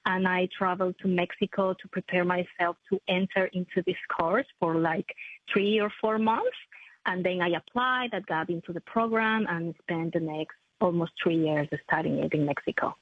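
A woman, a prosthetist answering interview questions in a halting, self-correcting way. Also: lowish voice, husky, dry recording. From Ecuador. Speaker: maxillofacial prosthetist, guest. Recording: tinny phone-like line, dry.

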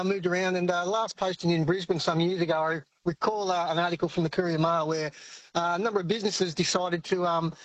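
Older aussie bloke calling in, high flat voice, lots of ums. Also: male voice, no room sound, poor audio. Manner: halting, many ums and uhs. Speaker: caller. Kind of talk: phone-in comment.

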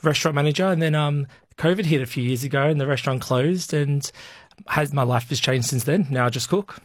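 A man's voice: fairly high pitch, a little fast, dry recording. A man chatting casually as a radio interview guest, easygoing and self-deprecating. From Australia.

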